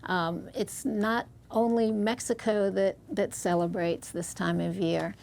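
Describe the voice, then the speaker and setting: A woman's voice: mid-range voice, fairly even intonation, a little slow, gravelly, a little mellow. A woman guest on a TV talk show, describing an upcoming event in a flowing, explanatory way. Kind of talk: studio interview. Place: TV studio.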